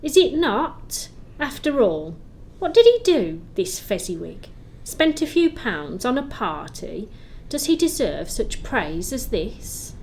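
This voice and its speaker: A woman playing a calm Christmas spirit, questioning in a measured, solemn way in an audio play. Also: fairly high pitch, a little hiss or hum, exaggerated voice. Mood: challenging. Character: calm, probing Christmas spirit guiding an old miser.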